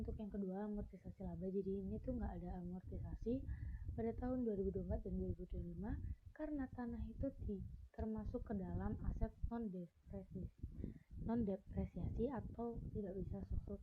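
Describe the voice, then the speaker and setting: A young woman gives a student presentation, explaining step by step. She pauses mid-phrase as she goes. The sound is thin and phone-like, with clear background noise. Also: medium pitch. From Indonesia. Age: young adult. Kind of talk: class presentation, explaining worked problems.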